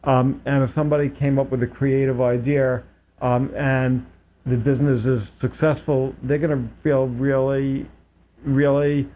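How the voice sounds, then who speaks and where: A man's voice: mid-range voice, level tone, a little slow, warm tone, slight background noise. A man hosting a local public access talk show, talking casually with many fillers and restarts. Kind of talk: casual interview chat. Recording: dull lo-fi public access audio.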